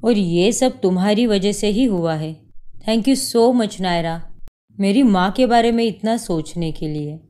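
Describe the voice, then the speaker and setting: A woman voicing a calm, agreeable young husband in a cartoon story. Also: mid-range voice, unhurried, lightly acted. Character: young husband, affectionate son.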